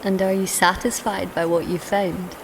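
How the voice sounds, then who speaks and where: A woman playing a proud, lonely, cursed young daughter of a goddess in an audio drama, speaking in a measured, hushed, solemn way. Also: lowish voice, flat delivery, vocal fry, noisy background. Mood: wistful. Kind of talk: audio drama acting with first-person narration.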